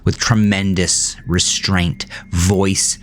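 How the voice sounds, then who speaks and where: A man narrating a scripted first-person horror story in a measured, dramatic manner. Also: low-pitched, flat delivery, a bit bright, slight background noise. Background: music.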